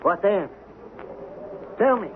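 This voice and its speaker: On a muffled, hissy vintage radio recording, an anguished man of middle years. His voice sits fairly high and moves slowly, agitated and pleading, like someone hounded by guilt in a radio play. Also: lively intonation. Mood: frightened, defensive. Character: guilt-ridden, frightened, pleading older husband, town's respected citizen. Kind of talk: old-time radio drama acting.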